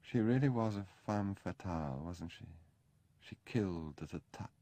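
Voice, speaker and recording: A man reading an audiobook, voicing a frail young gentleman who speaks softly and languidly with gentle self-mockery. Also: fairly low pitch, lively intonation, moderate pace, dry recording, pitched above the performer's own voice. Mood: wistful.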